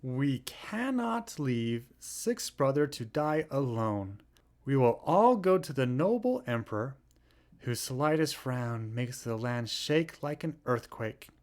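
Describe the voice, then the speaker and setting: A man's voice: mid-range voice, sing-song, slow pace, a little mellow. A man voicing good-natured, emotional brothers from a children's storybook in a theatrical way. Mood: earnest resolve. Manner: theatrical, swooping.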